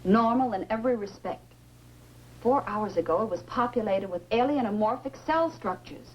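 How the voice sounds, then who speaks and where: An actress playing a composed, concerned scientist in the style of a 1950s science fiction film. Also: female voice, a little high, lively intonation, normal speed, darkish tone, slightly rough. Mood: composed, serious. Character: concerned, composed female scientist tending a crashed astronaut. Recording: dull old film soundtrack, faint music.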